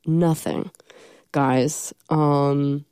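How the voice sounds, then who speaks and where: A woman's voice: sits low, fairly even intonation, extremely slow, dark tone, clear, resonant tone, dry recording. A young woman with a stuffy cold, hosting a college radio show in casual, rambling chat from a studio. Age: young adult. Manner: rambling, self-deprecating, many ums.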